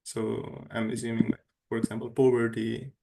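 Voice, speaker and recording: A man's voice: medium pitch, little pitch movement, normal speed, vocal fry, very noisy. A man on a video call reading out online poll results in a workshop, with many fillers and restarts. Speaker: workshop co-facilitator.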